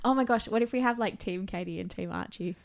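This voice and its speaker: Soothing, gravelly-voiced woman. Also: medium pitch, fast talker, no room sound. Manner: bubbly, laughs often. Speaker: podcast co-host. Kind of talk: casual chat.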